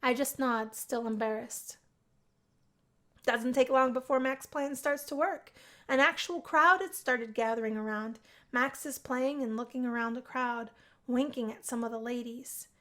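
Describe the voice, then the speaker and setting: A woman narrates a visual novel in the first person. Her voice is very high. She reads expressively, like someone telling a story on a gaming video. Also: unhurried, fairly bright tone.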